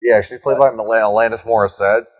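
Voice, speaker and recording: A man's voice: lowish voice, steady tone, fairly quick. Man riffing profanely on a comedy podcast. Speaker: podcast co-host. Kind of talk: casual comedic podcast banter.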